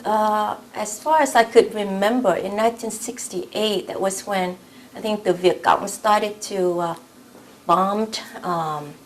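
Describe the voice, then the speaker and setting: A woman's voice: mid-range voice, fairly even intonation, takes it slowly, a little hiss or hum. A woman in her late forties from South Vietnam, a native Vietnamese speaker with a Vietnamese accent, recounting personal memories in an interview. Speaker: oral history interviewee.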